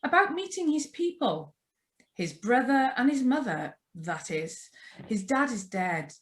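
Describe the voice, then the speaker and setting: A woman's voice: on the high side, very animated, unhurried. A woman playing a chatty, nervous young newlywed in a radio drama read over a video call. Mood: nervous, confiding. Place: remote, on a Zoom video call. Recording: live video call reading.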